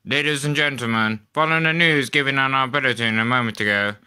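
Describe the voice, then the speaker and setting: A man playing a formal 1930s radio announcer, loud, emphatic and projected. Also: mid-range voice, fast talker, smooth, pitched above the performer's own voice. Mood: urgent, formal. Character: urgent, formal male radio announcer of old broadcasts.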